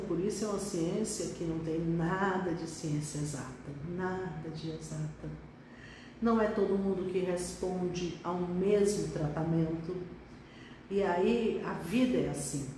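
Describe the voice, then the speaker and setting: A woman's voice: fairly low pitch, background noise. A middle-aged woman pediatric neurologist from Brazil, talking reflectively on a live stream with some hesitations. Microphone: phone on live stream. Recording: echoey room, distant from mic.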